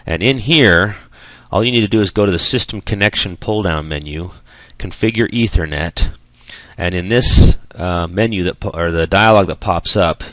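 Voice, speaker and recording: A man giving an unscripted software tutorial as an instructor, explaining things step by step in a voice-over. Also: sits low, normal speed, dry recording.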